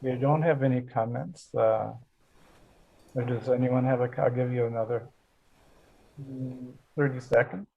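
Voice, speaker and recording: An older man, a Zen teacher, leading a relaxed, halting group discussion over a video call. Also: medium pitch, gravelly, a little hiss or hum. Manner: relaxed, halting, chuckles often. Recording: dull lo-fi call audio.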